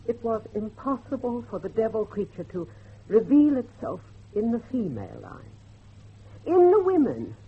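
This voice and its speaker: A fervent old grandmother played by an actor in a period horror drama. The husky voice swings widely in pitch with solemn, formal passion. The recording is dull. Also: heavily acted. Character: fervent, pious old grandmother guarding a dark family secret. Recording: dull lo-fi audio.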